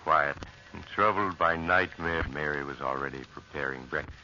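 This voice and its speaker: Ominous, very deep-voiced storyteller. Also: male voice, bright, forceful tone, slight background noise, heavily acted. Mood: grave, ominous. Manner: dramatic, deliberate. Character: grave, ominous storyteller of a horror tale. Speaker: narrator. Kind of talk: 1940s radio horror narration. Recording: muffled old radio recording.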